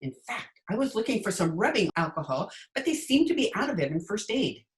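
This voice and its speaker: A woman playing a dry, sardonic, quick-witted schoolteacher in old-time radio comedy style. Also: medium pitch, very animated, natural voice. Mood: soothing, wry. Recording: noisy remote video call with clicks.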